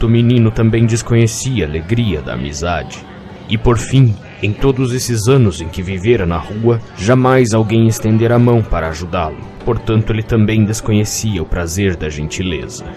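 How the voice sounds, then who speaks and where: A man's voice: on the low side, mellow, close to an everyday voice. A man playing a kindly, lonely old innkeeper, telling tales with theatrical phrasing in an audio drama. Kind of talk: dramatic fairy-tale narration in an audio drama.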